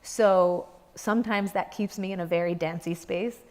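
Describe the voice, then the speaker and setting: A woman's voice: fairly low pitch, steady tone, normal speed, a little mellow. A young adult woman, a dance company director, speaking earnestly in an interview and searching for her words. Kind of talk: reflective interview talk. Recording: reverberant hall.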